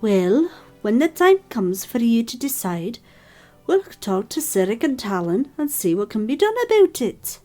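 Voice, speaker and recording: Otherworldly female fate-weaver, voiced by a woman; dark soft tone, calm, wistful, measured, faint music underneath. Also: a little high. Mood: calm, practical. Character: calm, wistful female fate spirit, curious about mortals. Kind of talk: scripted audio drama dialogue.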